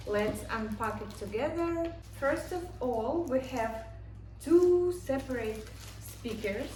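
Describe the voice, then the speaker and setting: A non-native English-speaking woman giving a casual unboxing and product review in short, halting phrases. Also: on the high side, darkish tone. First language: not English. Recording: echoey room, distant mic, faint noise.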